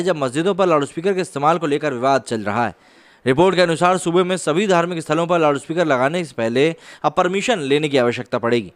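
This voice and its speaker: Man, fairly high-pitched voice, online news presenter, explanatory delivery, part read and part conversational. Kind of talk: news commentary, partly read from reports.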